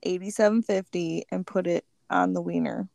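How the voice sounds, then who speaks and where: A woman's voice: lowish voice, fairly even intonation, normal speed, vocal fry, a little mellow, dry recording, studio quality. A woman co-hosting a podcast who cracks crude jokes and giggles often. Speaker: podcast co-host. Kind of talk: casual podcast chat.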